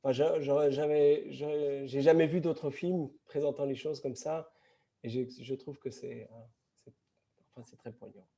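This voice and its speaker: A man speaking in a film discussion after a screening, his voice gravelly and very dark. He talks in a reflective, halting way and often restarts his sentences. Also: mid-range voice, steady tone. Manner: halting, many restarts and fillers. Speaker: festival panelist.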